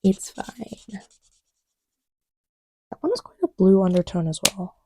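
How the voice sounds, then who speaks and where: A woman talks in a mellow, unhurried voice, recorded close and dry. She rambles playfully and teases like she is chatting to a partner beside her, often slipping into a near-whisper. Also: mid-range voice, sing-song, slightly rough, dry recording. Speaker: audio roleplay creator playing a partner to the listener. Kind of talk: casual intimate roleplay chat while testing markers.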